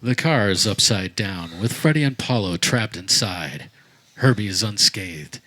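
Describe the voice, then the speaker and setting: A man's voice: fairly low pitch, expressive, measured pace, sharp tone, dry recording. A man narrating a scripted comedy radio play, recorded live outdoors. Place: backyard, before a live audience. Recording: live outdoor recording, faint background noise.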